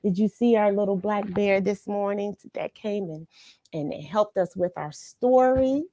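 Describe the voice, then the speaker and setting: A woman's voice: mid-range voice, warm tone, dry recording. Middle aged american lady doing a kids read along stream, takes her time, encouraging, pretty dry sound. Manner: encouraging, unhurried, addresses listeners directly. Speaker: children's read-along host. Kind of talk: chatty livestream to viewers, greeting them by name.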